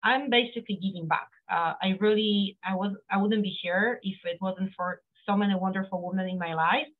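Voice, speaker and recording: A woman's voice: medium pitch, steady tone, fairly quick. A middle-aged woman with a Polish accent, a guest talking earnestly over a video call with many fillers and restarts. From Poland. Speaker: guest, accountant. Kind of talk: casual interview talk. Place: home office, indoors. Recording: phone-like narrow sound.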